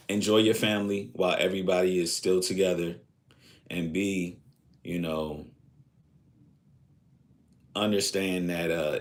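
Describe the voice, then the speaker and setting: A man in his thirties chatting casually on a live stream, rambling and reflective, with lots of fillers. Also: low-pitched. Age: 30s.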